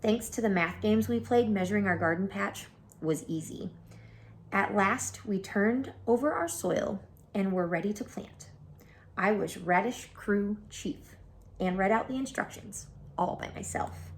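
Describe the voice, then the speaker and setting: A woman reading a children's picture book aloud as an expressive storyteller. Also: mid-range voice, measured pace, a little hiss or hum.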